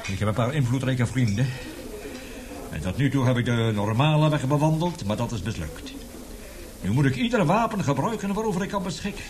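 A man's voice: medium pitch, sing-song, a little fast, a little mellow, close to an everyday voice. An actor in a radio drama playing an embittered, passionate male professor who lectures emphatically and indignantly. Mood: determined.

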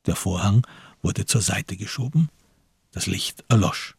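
A man narrates a literary radio drama slowly and deliberately. His voice is low and very husky with gravel in it, captured dry and close in a studio. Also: no room sound.

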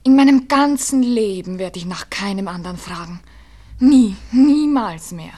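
A woman's voice: mid-range voice, animated, measured pace, warm tone. An actress playing an earnest, wounded young Viennese girl in love, pleading in a radio drama. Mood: passionate, pained. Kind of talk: 1960s German radio drama acting.

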